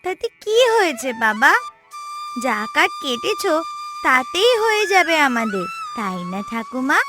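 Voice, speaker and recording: Casting: affectionate little granddaughter acted by a woman, high and sweet, eager, rural cartoon tale. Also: huge pitch swings, a little slow, music in the background, exaggerated voice. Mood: caring. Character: cheerful little village girl, affectionate granddaughter. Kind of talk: cartoon voice acting.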